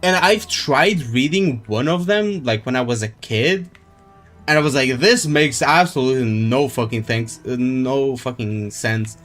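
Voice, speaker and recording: A male game streamer gives casual, musing commentary in a mid-range voice. He rambles at a slow pace and swears offhandedly, with faint music in the background. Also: mid-range voice, a bit bright.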